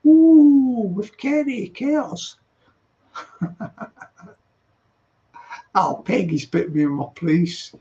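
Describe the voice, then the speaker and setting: A man's voice: high-pitched, big pitch swings, extremely slow, long pauses, warm tone. A man in his thirties hosting a casual late-night livestream, chatting playfully and reading out viewer comments. His voice. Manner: chatty, playful, laughs easily. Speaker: livestream host. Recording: dull lo-fi stream audio.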